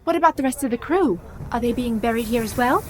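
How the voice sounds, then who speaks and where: A woman's voice: fairly high pitch, lively intonation, fast talker, a little hiss or hum, natural voice. An actress playing a sensible, observant, genteel young lady in a radio play, with composed, proper period diction. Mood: inquisitive.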